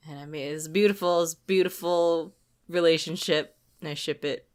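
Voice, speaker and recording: A woman's voice: deep voice, steady tone, normal speed, darkish tone, smooth. A woman co-hosting a podcast, chatting casually about games in an informal, giggly way. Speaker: podcast co-host.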